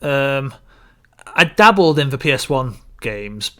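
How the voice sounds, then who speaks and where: Bright-voiced man reminiscing casually. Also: medium pitch, sing-song, slow pace. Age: early 30s. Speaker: podcast co-host reviewing films.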